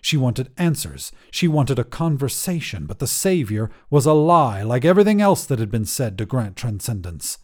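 A man narrating a scripted short story in a measured, dramatic storytelling manner. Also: mid-range voice, very animated, a little mellow. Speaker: fiction podcast narrator.

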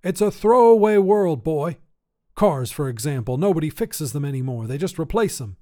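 A grumbling, folksy old grandpa acted out by a male narrator for a short story. His voice sits high and he speaks with emphatic, cranky conviction, like a tinkerer who thinks everything is falling apart. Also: animated, normal speed, mellow, close to an everyday voice. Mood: nostalgic grumbling. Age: older. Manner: folksy, grumbling, emphatic, rural turns of phrase. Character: cantankerous old grandfather tinkerer, obsessed with decay and repair. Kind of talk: acted character dialogue in short fiction reading.

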